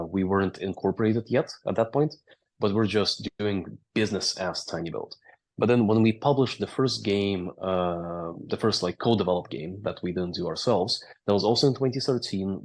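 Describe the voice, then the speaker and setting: A man in his thirties from Latvia with a Latvian accent, telling his story as a guest in a casual interview, fluent with frequent "uh" and "right?". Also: deep voice. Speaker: company founder, interview guest.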